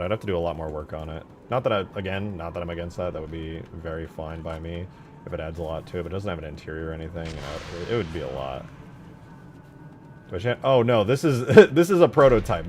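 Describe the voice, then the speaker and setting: A man's voice: sits low, slight background noise. A man streaming his game modding work, talking informally and thinking aloud. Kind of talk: casual livestream chat.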